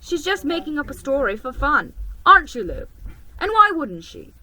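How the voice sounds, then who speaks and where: A woman plays a teasing older boy in a storybook. He sounds a little raised and bright, and he talks down good-naturedly. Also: high-pitched, very animated, moderate pace, dry recording, voice pushed up, heavily acted, low-quality recording. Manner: teasing, patronizing. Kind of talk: storybook reading.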